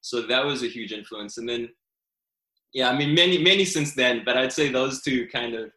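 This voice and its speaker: A man's voice: mid-range voice, moderate pace, sharp tone, reverberant, low-quality recording. A male author guest with a slight South African accent, chatting casually with many fillers and restarts. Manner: rambling, many fillers and restarts, laughs easily. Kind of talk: casual interview chat.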